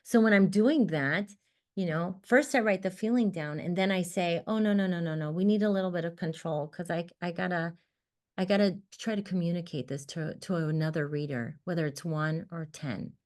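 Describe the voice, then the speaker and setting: A woman poet whose first language was Armenian, speaking in an expressive, emphatic conversation over a video call. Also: normal speed. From United States. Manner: expressive, emphatic, rises in pitch when animated. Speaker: poet, guest author. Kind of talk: casual conversation about writing. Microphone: computer mic on a video call.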